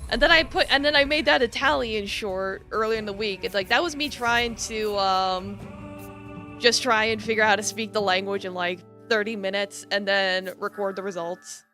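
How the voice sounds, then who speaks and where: A woman's voice: medium pitch, normal speed, sharp tone, faint music behind. A woman streaming a game, chatting casually with viewers in a giggly, rambling way full of fillers. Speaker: VTuber streamer. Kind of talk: casual live-stream chat while gaming.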